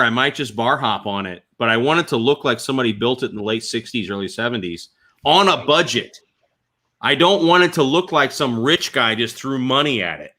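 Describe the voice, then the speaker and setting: Man telling stories in a bright, forceful voice. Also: medium pitch. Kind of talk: casual storytelling chat.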